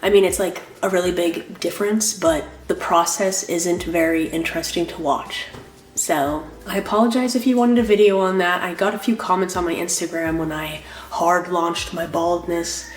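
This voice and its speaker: Young woman, congested and nasal, chatty rambling vlogger, low-ish voice in an echoey room. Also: steady tone, moderate pace. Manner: rambling, lots of "like" fillers, apologetic. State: nasal from a cold. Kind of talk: casual chatty vlog.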